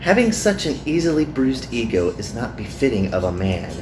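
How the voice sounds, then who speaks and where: A man's voice: medium pitch, very animated, normal speed, roomy sound, background noise, close to an everyday voice. A man voicing a teasing, haughty, seductive woman from an anime visual novel game.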